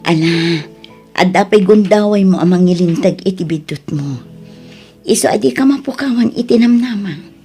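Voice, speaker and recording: A woman's voice: mid-range voice, moderate pace, dark tone, slightly rough, faint music behind. A woman playing a concerned older sister who counsels firmly in an audio drama, with an Ilocano accent. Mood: earnest, admonishing.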